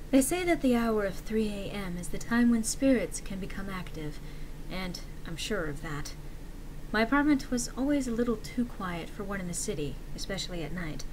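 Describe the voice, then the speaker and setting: Female narrator, mid-pitched, theatrical horror storytelling, deliberate pauses, clearly noisy amateur recording. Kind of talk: dramatic horror story reading.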